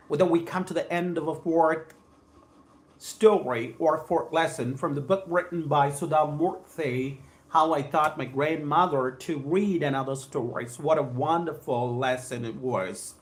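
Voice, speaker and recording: A man with a South Indian accent teaching English on a live stream, reading aloud and addressing viewers in an effusive, formal way, repeating his phrases. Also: on the high side. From Andhra Pradesh, India. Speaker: spoken English trainer hosting a live stream.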